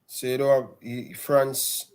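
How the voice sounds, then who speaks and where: A man co-hosts a casual football talk. He trips over his openings, repeating words before the thought lands. His voice sits at an ordinary middle pitch for a man. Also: little pitch movement, takes it slowly, darkish tone, smooth. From Jamaica. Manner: repeats words often, stumbling starts. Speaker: co-host.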